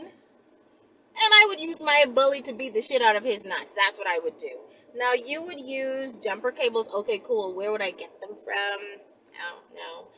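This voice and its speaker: A woman's voice: on the high side, sharp tone, slight background noise, rough recording. A woman video host chatting casually and rambling to viewers in a blunt, emphatic, profane, conversational way.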